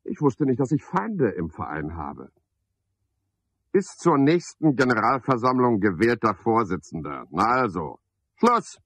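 A man's voice: mid-range voice, very animated, a little slow, fairly bright tone, slightly rough, no echo, full character voice. An actor playing a self-important, touchy chairman of a mushroom club in a radio play, talking with cajoling pomposity. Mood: defensive, boastful. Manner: pompous, cajoling, one-sided conversation. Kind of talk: 1970s German radio play acting. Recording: dull, band-limited 1970s radio recording.

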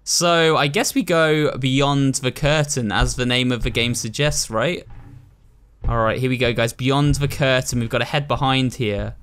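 A man's voice: medium pitch, steady tone, unhurried, fairly bright tone, clear, resonant tone. A British man giving chatty, casual commentary while playing a horror game, addressing his viewers as host. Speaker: gaming video host. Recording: voice over game music. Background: ominous game music.

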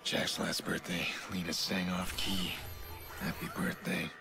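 An actor playing a brooding, grieving male vigilante in a game, speaking in a subdued, introspective, gravelly way. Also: low voice, level tone, a little slow, music in the background. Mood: melancholy. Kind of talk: dramatic game monologue.

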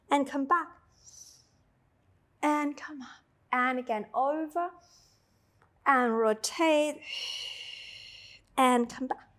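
A woman in her thirties with an Australian-tinged accent, guiding a Pilates class with slow, soothing cues and chatty asides. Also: high voice, fairly bright tone. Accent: Australian-tinged English. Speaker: Pilates instructor. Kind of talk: guided exercise instruction.

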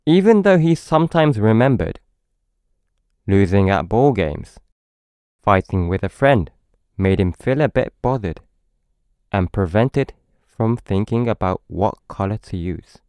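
A man's voice: on the low side, animated, a little slow, pauses often, darkish tone, smooth, dry recording. A man with a British accent reading a children's story aloud, expressively, as if to a young child. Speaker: storybook narrator.

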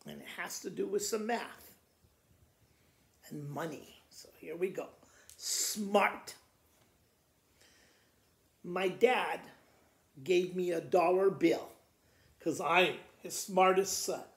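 An older man with an American accent reading children's poems aloud in a deliberate, expressive storytelling manner. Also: high-pitched, creaky. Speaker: school principal reading to pupils. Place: living room by a fireplace, indoors. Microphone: camera a few feet away. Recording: echoey room, camera mic at a distance.

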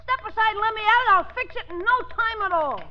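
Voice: very high voice, fast, soft background music. An actor playing a brash, sarcastic, slangy delivery boy in a 1950s radio comedy. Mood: sarcastic, cocky. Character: wisecracking brash grocery delivery boy, sharp and skeptical. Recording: dull, noisy old radio recording.

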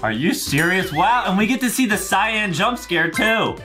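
Young male co-host, animated and exclamatory, gushing reaction style over a music bed. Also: high voice, moderate pace. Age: young adult. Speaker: YouTube co-host. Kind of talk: excited reaction commentary.

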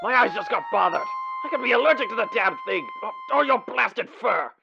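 A man voicing a pompous, prickly, old-fashioned demon gentleman with formal, theatrical delivery in a cartoon comic dub. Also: high-pitched, animated, normal speed, creaky, muffled recording, over music. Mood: flustered, indignant. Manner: pompous, formal, clipped indignation.

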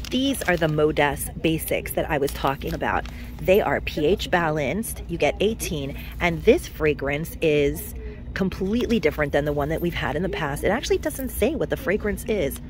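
A chatty woman with a fairly low voice narrates her walk through a discount store, gushing over products as she goes. She is recorded on a handheld phone, with faint store noise behind her. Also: a little mellow. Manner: chatty, enthusiastic product commentary. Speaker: shopping vlog host. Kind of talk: casual shop-with-me chat to camera. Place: discount store aisles, indoors.

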